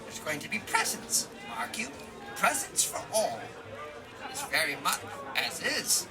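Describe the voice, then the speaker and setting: Lively, folksy old hobbit gardener. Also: male voice, a little high, measured pace. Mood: indignant, proud. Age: older. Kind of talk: audio drama acting. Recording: music and crowd murmur behind.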